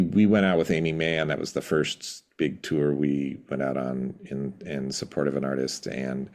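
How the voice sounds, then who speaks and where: A middle-aged man reminiscing casually as an interview guest, reflective and halting, often breaking off mid-word. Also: a bass voice, gravelly. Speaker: podcast guest, musician.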